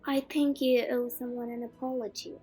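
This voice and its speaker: A woman's voice: fairly high pitch, level tone, moderate pace, warm tone, soft background music, lightly acted. A woman playing a bossy, earnest teenage schoolgirl witch in an audio drama, prim and insistent. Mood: indignant.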